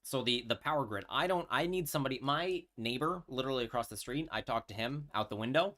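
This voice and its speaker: A man's voice: mid-range voice, lively intonation, quick pace, pushed and bright. A man, chatting casually on a live stream and telling a story with many fillers and restarts. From New York.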